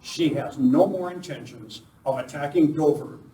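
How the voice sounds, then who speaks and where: Actor as an urgent, commanding masked western hero, roomy. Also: male voice, on the high side, normal speed, warm tone, natural voice. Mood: urgent, insistent. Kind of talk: old-time western radio play acting. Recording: roomy far-off live stage recording with noise.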